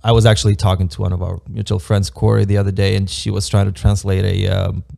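A man's voice: deep voice, little pitch movement, fast, creaky, warm tone. A man from Moldova, a native Romanian speaker with a Moldovan accent, chatting casually as a guest, hesitant with many ums and easy laughs. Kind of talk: casual chat.

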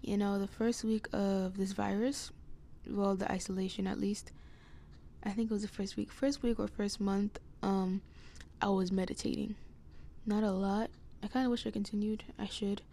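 Young adult female, solo casual chat, meandering delivery, scratchy with plenty of vocal fry, soft dark tone. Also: medium pitch, steady tone, moderate pace. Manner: hesitant, meandering. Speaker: podcast host. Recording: noticeable background noise.

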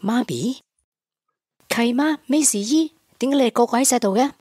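A woman's voice: on the high side, normal speed, mellow, husky, no room sound, studio quality. A woman with a Hong Kong Cantonese accent voicing an earnest teenage boy in a radio drama, who talks in a stammering, sulky way. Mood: puzzled. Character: earnest teenage boy, devoted to his mother.

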